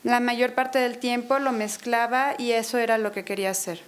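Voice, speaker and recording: A woman interpreting a lecture consecutively in a university auditorium, hesitating often, filling pauses and correcting herself as she goes. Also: on the high side, fairly even intonation. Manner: hesitant, many fillers and self-corrections.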